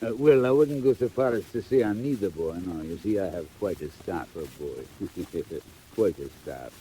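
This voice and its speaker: Deep, wheedling old crook. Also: male voice, lively intonation, normal speed, warm tone. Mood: ingratiating. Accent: British. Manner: oily, hesitant, chuckling, mock-courteous. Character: sly, wheedling old male crook running a boy gang. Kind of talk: 1940s dramatised audio acting. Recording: noisy vintage 1940s record.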